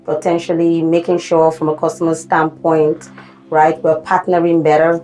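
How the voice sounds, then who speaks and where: Woman business manager, interview guest, lowish, measured, faint music bed. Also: little pitch movement, mellow, poor audio. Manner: measured, with frequent "um". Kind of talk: interview talk.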